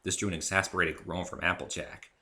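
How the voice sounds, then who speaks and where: A man narrating a first-person story as an expressive dramatic reading. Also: low-pitched, fast talker. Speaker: narrator and author.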